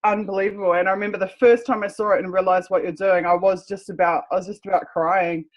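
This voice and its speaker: A woman's voice: medium pitch, fairly even intonation, fast talker. A woman from New Zealand with a strong New Zealand accent, interviewing in a casual, earnest way that turns emotional and repeats words.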